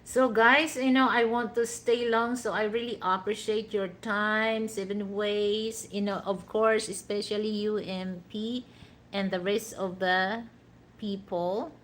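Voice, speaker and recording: A Filipino woman talks slowly and casually to her livestream viewers, looping back with frequent "you know" fillers. Her pitch sits in an ordinary middle range. A faint hiss of noise runs behind her. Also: fairly even intonation, a bit bright, low-quality recording. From Philippines. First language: a Philippine language other than Tagalog. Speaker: livestream host.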